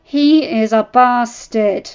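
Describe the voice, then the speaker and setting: A woman voicing a bitter, defensive middle-aged noblewoman who speaks high and sharp, in a dull lo-fi recording with faint music. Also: fairly even intonation, moderate pace, smooth, lightly acted.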